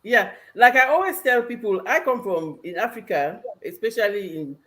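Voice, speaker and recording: A woman's voice: mid-range voice, animated, normal speed, fairly bright tone. A woman from Cameroon with a Cameroonian accent, explaining conversationally as a talk show guest, with emphatic repetition.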